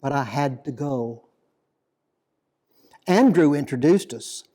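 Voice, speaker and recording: A man reading a dramatic monologue poem as an aging, plain-spoken fisherman apostle, deliberate, reflective and full of guilt and devotion. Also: medium pitch, lively intonation, mellow. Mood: rueful, heavy. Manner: slow, deliberate, reflective storytelling.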